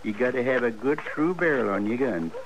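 A man's voice: medium pitch, expressive, fairly quick, muffled recording, natural voice. An actor playing a talkative, nostalgic old Western man who rambles in folksy frontier speech in a radio drama. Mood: fond, reminiscing. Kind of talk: 1950s radio western acting. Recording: noisy old radio recording.

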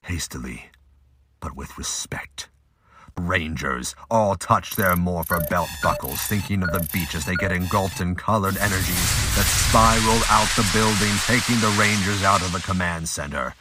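A man's voice: deep voice, normal speed, very noisy. A man reading scripted dramatic narration as the narrator of an audio drama.

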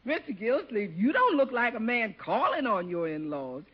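A woman's voice: on the high side, big pitch swings, moderate pace, husky. An actress playing a sassy, amused housekeeper who teases her employer in a 1950s radio sitcom. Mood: teasing. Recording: muffled old radio, audience murmur.

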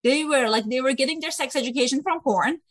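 A woman's voice: high voice, fast, no echo. Woman host, dry close sound. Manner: asks questions, frequent restarts and non-native phrasing. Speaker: podcast host. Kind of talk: conversational interview.

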